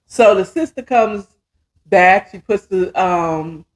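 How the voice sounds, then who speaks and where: A woman's voice: medium pitch, slow pace. A woman in her fifties telling a story casually as a podcast host, chatty and emphatic, often checking in with the listener. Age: 50s.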